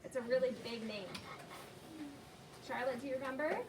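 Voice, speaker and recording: A woman's voice: high-pitched, normal speed. A woman teacher leading an interactive read-aloud lesson with children, asking questions and repeating and affirming their answers. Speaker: primary school teacher. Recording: roomy, noisy, distant classroom microphone.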